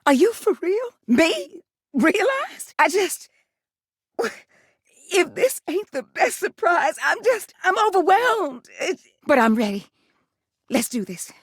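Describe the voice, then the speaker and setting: A woman voicing a sultry, affectionate female household water heater in a video game, a gravelly voice and a folksy drawl full of pet names. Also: very high-pitched, sing-song, takes it slowly, no room sound, clean studio sound, full character voice. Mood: overjoyed.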